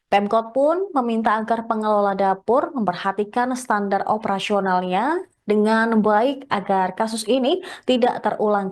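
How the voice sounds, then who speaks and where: A woman reads a scripted news report. Her voice sits at an average pitch, and she delivers it as a reporter's narration. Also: darkish tone.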